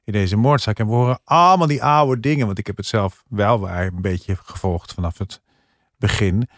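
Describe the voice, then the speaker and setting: A man's voice: fairly low pitch, moderate pace, fairly bright tone, no room sound, studio quality. A man co-hosting a podcast, giving his opinions in casual conversation. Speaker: podcast co-host and reviewer. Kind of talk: casual podcast review chat.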